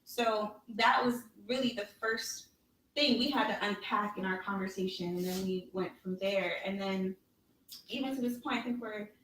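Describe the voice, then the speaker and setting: Chatty woman with a bright voice. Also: medium pitch, moderate pace, echoey room, distant mic, slight background noise, poor audio. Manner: chatty, conversational, frequent "right?" tags. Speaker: podcast guest. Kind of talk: casual podcast discussion.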